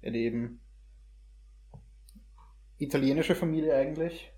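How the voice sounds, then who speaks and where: Mellow-voiced young man in casual talk. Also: a little high, unhurried. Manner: hesitant phrasing mixed with English slang words. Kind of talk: casual conversational film review.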